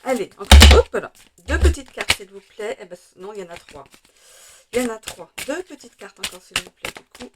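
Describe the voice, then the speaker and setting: Slightly hoarse French woman reading tarot. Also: fairly high pitch, big pitch swings, fairly quick, very noisy. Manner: chatty, hesitant with many fillers, laughs at jumping cards. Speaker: tarot card reader. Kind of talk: casual weekly tarot reading.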